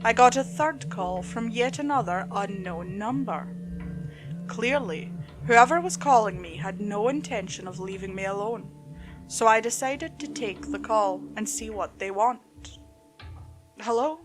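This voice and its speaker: A woman's voice: mid-range voice, expressive, unhurried. A woman reading a scripted creepypasta story as host and narrator. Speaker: host and narrator of horror stories. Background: music.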